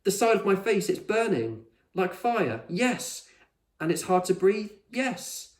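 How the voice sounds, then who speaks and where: Storybook schoolgirl, played by a man: prickly, awkward, exclamatory, lifted pitch, echoey room. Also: very animated, slow, heavily acted. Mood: panicked. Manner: suspicious, hesitant, exclamatory. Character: awkward, defensive, easily alarmed young schoolgirl.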